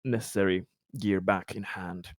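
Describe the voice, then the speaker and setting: A man's voice: deep voice, steady tone, normal speed, dry recording, studio quality. A man playing in a tabletop roleplaying game, speaking formally and hesitantly as a leader.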